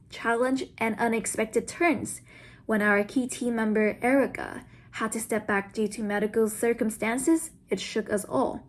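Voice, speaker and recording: High-voiced young woman giving a speech. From Vietnam. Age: around 20. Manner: earnest, formal. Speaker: student speaker. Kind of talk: prepared speech.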